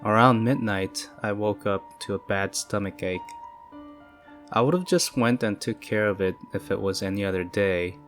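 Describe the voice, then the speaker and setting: Male horror-story narrator, low voice, measured delivery over faint music. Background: faint eerie music.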